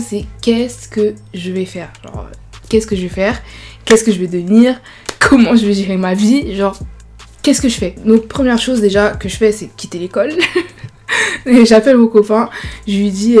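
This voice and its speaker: A woman's voice: mid-range voice, mellow. A woman in her early twenties telling her story in a chatty storytime vlog, full of fillers. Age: 20s. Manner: chatty, many fillers like genre and voilà. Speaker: YouTuber.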